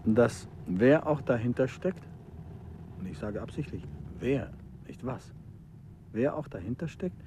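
An actor playing a composed, sharp-witted male detective in a 1950s radio play, with a dry, understated, teasing manner. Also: medium pitch, lively intonation, unhurried, long pauses, noisy background, natural voice. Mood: knowing. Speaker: detective husband.

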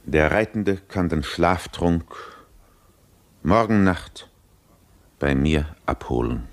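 An actor in a radio play as a principled, solemn healer who speaks with formal, measured diction. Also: male voice, low-pitched, expressive, slight background noise, full character voice. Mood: grave, resigned. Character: grave, principled male healer, wary and solemn. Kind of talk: German radio play acting.

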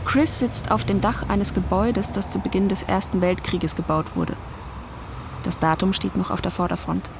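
A woman's voice: medium pitch, moderate pace, vocal fry, noisy background. A woman giving a scripted dramatic narration in the third person, as the narrator of a stage play.

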